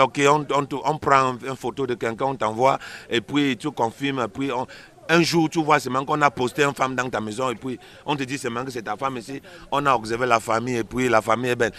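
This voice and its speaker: Forceful, bright-voiced man, emphatic pastor. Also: mid-range voice. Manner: emphatic, didactic, repeats phrases for emphasis. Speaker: pastor, interview guest. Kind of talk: explanatory interview answers on a religious show.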